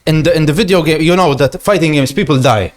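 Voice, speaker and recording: A man's voice: fairly high pitch, quick pace. A man with a Maltese accent in a casual group podcast chat, speaking emphatically and repeating words for emphasis. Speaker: podcast panellist.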